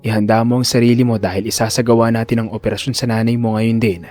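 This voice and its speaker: A cold, commanding chief neurosurgeon acted out by a male narrator for an audio drama. He speaks low, calm and measured, with quiet authority over a music bed. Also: close to an everyday voice. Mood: firm reassurance.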